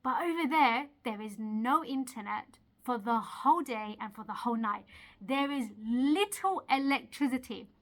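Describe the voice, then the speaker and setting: A woman's voice: on the high side, lively intonation, moderate pace. A young woman from Eritrea talking to camera in a casual, earnest vlog, chatty and self-laughing, asking the viewer questions. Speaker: video creator. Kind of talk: casual motivational talk to camera.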